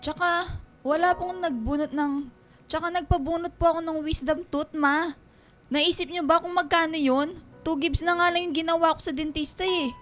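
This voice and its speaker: High-voiced, exasperated Filipino elder sister. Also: female voice, steady tone, normal speed, a bit bright, faint music behind. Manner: exasperated, pleading. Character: exasperated young working daughter and strict older sister. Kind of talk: radio drama acting.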